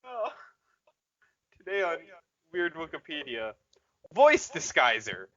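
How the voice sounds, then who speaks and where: A woman's voice: deep voice, very animated, slow pace, lo-fi sound. A woman co-hosting a podcast in casual comedic banter. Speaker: podcast co-host.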